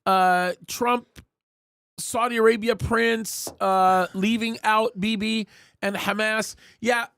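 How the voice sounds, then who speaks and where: A man's voice: high-pitched, fairly even intonation, slow pace, vocal fry, fairly bright tone, clean tone, dry recording. A male host chatting casually on a live show, joking and reading out viewer comments. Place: studio.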